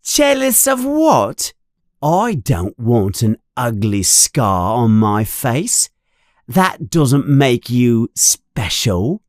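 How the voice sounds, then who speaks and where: A man's voice: medium pitch, very animated, darkish tone, no echo, studio quality. A man voicing a mean, sneering schoolboy bully who taunts and mocks in a storybook reading. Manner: taunting, mocking, drawn-out jeers.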